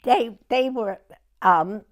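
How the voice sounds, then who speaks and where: A woman in her nineties with a southern English accent, reminiscing in an unhurried, halting way in short broken phrases. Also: on the high side. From southern England. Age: 90s. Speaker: oral history interviewee. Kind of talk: personal reminiscence in conversation.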